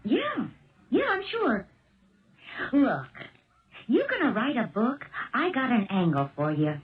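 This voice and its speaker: Blunt, streetwise female gossip for seventies radio drama, very animated, on a muffled recording. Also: a little high, slow, background noise. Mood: brash, guarded. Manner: blunt, slangy, know-it-all. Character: brassy, gossipy, streetwise woman eager for money. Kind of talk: 1970s radio drama acting.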